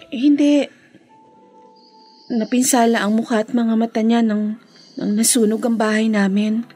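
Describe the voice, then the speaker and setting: A high, youthful female voice in a radio drama, playing an eager young working woman devoted to her family. Her lines are emotive and earnest, with faint music around them. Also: fairly even intonation, unhurried, mellow. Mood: sad, earnest. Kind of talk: Filipino radio drama acting.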